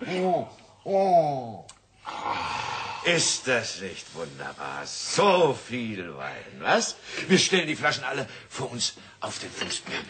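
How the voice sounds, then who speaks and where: An actor playing an exuberant, tipsy tattooist, a generous and wheedling friend, in a radio play. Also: male voice, mid-range voice, huge pitch swings, takes it slowly, background noise. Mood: tipsy, elated. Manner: exuberant, wheedling, drunkenly expansive. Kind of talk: German radio play acting.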